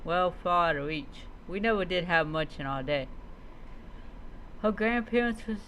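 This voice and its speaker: A woman telling a story in character, reading aloud haltingly with reminiscing asides. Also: fairly low pitch, a little hiss or hum, rough recording. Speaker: roleplay streamer.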